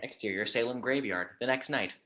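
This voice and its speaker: A woman's voice: very deep voice, brisk, a bit bright. A woman reading scripted stage directions in an amateur table read.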